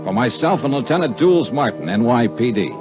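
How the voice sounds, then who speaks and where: An American actor playing a wry, hard-boiled insurance investigator in a 1950s radio drama, with clipped, punchy delivery. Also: male voice, mid-range voice, very animated, a little fast, lightly acted. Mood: wry, dramatic. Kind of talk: 1950s radio detective drama acting, narrated expense account. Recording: dull 1950s radio recording with music.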